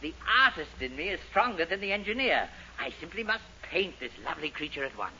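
High, swooping, grandiose eccentric gentleman. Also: big pitch swings, fairly quick, exaggerated voice. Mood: gushing, courtly. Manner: florid, theatrical, courtly. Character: deluded grandiose male boarder, courtly and flirtatious. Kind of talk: 1940s radio comedy acting. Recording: dull, hissy old radio recording.

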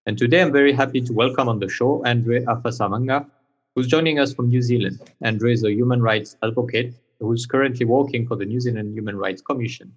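Male podcast host, mid-pitched voice, formal, slightly halting questions. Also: slightly rough, lo-fi sound. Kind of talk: podcast interview.

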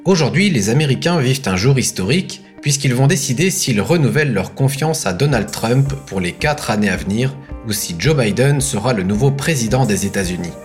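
A man as news presenter, reading a scripted voice-over at a measured pace. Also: mid-range voice, animated, faint music behind.

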